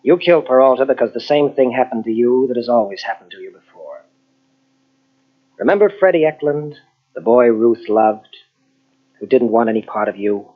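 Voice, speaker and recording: An earnest, grave male doctor, played by an actor in a vintage radio mystery. His mid-pitched voice is husky, with measured phrasing and dramatic pauses. The old tape sounds dull and lo-fi. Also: animated, close to an everyday voice. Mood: grave, urgent. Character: earnest, grave male psychologist confronting a killer. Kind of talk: 1940s radio mystery acting.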